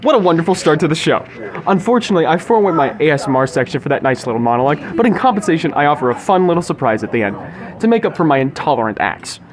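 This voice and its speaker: A man's voice: a little high, animated, rapid-fire. Theatrical young man hosting over crowd chatter. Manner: theatrical, grandiose, wordy. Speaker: podcast host. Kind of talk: mock-formal scripted podcast monologue. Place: recorded inside a sushi restaurant. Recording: busy restaurant chatter around the voice.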